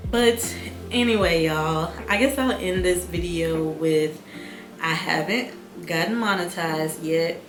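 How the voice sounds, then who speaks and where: A young adult woman talking in a calm, soft-spoken, reflective way in a casual vlog. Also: lowish voice, takes it slowly. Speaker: YouTuber. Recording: echoey room with music bed.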